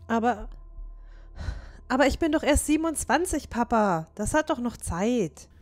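A woman's voice: a little high, animated, unhurried, dry recording. A woman playing a naive, defiant young dwarf daughter in a fantasy audio drama, protesting in a flustered way.

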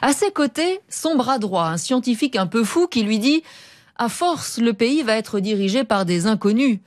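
A woman's voice: on the high side, lively intonation, normal speed, no room sound. Woman presenting a dry, close press review. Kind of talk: scripted press review read aloud. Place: radio studio. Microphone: studio desk microphone, close.

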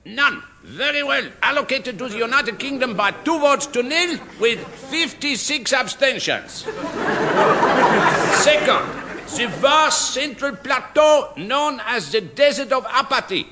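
An actor playing a brisk, officious conference chairman, formal and pompous, in a radio sitcom. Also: extremely high pitch, lively intonation, background noise, heavily acted.

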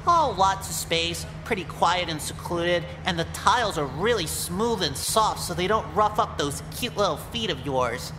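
A woman's voice: fairly low pitch, animated, normal speed, few pauses, music in the background, lightly acted. A woman voicing a creepy, overeager, awkward nerdy young man in a visual novel game, with a fawning manner. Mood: eager, persuasive. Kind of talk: voiced visual novel dialogue. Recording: long hall reverb, clear background noise.

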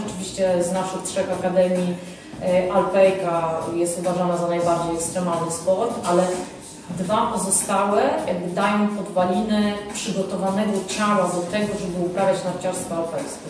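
Woman explaining in a very echoey room. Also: on the low side. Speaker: ski and Nordic walking instructor, interview guest. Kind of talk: explanatory interview talk. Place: indoor ski-shop cafe. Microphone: handheld microphone with foam cover. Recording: echoey room, distant sound, music behind.

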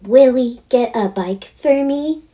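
A woman voicing a bossy, dramatic preteen farm girl in a high, wheedling voice for a storybook reading. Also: slow pace, mellow.

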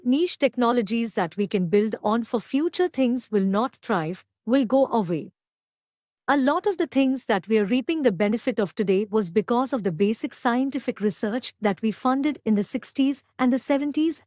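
A woman's voice: a little high, animated, normal speed, darkish tone, no room sound, clean studio sound. A woman reading scripted practice passages aloud as a demonstration for an English test.

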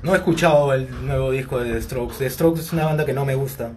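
A man in his forties with a Peruvian Spanish accent, chatting casually outdoors with fans and often pausing on "eh" as he answers their questions on a phone. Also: medium pitch, a little hiss or hum. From Peru. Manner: relaxed and unhurried, with frequent hesitant "eh" fillers. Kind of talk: casual live chat with fans. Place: outdoors in bright sun by a glass building. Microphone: phone held at arm's length, wireless earbuds.

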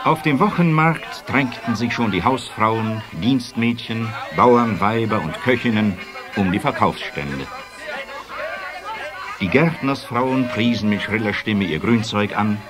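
A man narrating a children's story in an expressive, scripted storytelling manner. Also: fairly low pitch, unhurried, music in the background, a crowd in the background.

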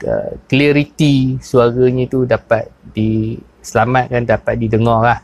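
A man in his thirties with a Perak Malay accent, casually explaining his sound work in a vlog from a small office, pausing often with fillers. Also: mid-range voice, vocal fry. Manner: relaxed, many fillers and pauses. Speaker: sound technician. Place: small cluttered office, indoors. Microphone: clip-on lapel mic.

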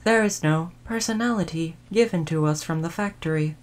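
A woman's voice: fairly low pitch, natural voice. Woman playing a formal, caring android servant. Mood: earnest, reflective. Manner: formal, polite, measured phrasing. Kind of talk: audio roleplay addressed to the listener.

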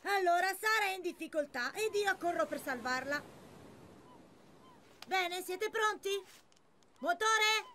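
A woman's voice: very high-pitched, expressive, a little slow, pauses often, a little hiss or hum, full character voice. A woman voicing a cheeky, boastful young boy in an Italian children's cartoon, eager and self-important. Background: loud music.

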